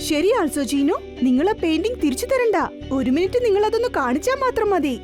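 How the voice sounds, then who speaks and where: A woman voicing a quick-witted young boy hero in a children's cartoon. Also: very high voice, big pitch swings, darkish tone, soft background music. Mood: persuasive.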